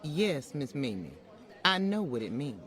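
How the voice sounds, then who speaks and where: Woman acting a folksy Southern grandmother, swooping pitch. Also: sing-song, some chatter behind, full character voice. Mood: firm. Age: older. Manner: folksy, measured, polite but wry and firm. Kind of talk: old-time radio style dramatic acting.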